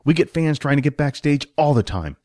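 A man's voice: a little high, expressive, fairly quick, darkish tone, no room sound, studio quality, voice pushed up, close to an everyday voice. A man voicing a cheerful, proud male general manager in an audiobook, chatty and eager to explain. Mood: relieved, friendly. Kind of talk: audiobook character voice.

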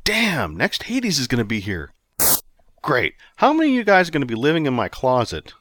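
A man's voice: mid-range voice, wildly swooping pitch, moderate pace, a bit bright, no echo, voice pushed up. A man reading the part of an impatient, sarcastic young man who wants to get ready for a date. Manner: dry, impatient.

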